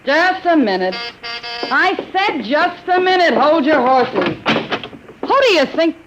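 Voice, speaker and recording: An anxious, pleading young assistant. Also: female voice, sits high, very animated, takes it slowly, music in the background, heavily acted. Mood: impatient. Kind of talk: 1950s radio drama acting. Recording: dull old radio broadcast with hiss.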